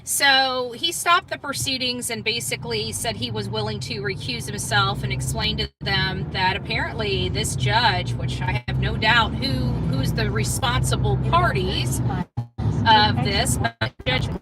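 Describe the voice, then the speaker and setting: A woman's voice: a little high, a little slow, loud music behind the voice. A woman giving an informal live update to followers on a cell phone, speaking in a defiant, blunt and emphatic manner. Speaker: livestream commentator covering court cases. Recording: phone live with vehicle noise, distant mic.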